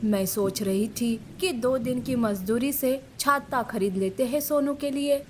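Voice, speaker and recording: Poor, devoted cartoon mother voiced by an actress: gentle, concerned, high-voiced, unhurried. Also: a little hiss or hum, lightly acted. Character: caring, self-sacrificing poor mother of a schoolboy. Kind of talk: Hindi moral-story cartoon dubbing.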